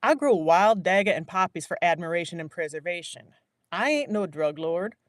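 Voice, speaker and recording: A woman's voice: on the low side, lively intonation, moderate pace, no room sound, close to an everyday voice. A woman voicing a young man, a farm-raised botanist, with a dry muttering manner. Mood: defensive.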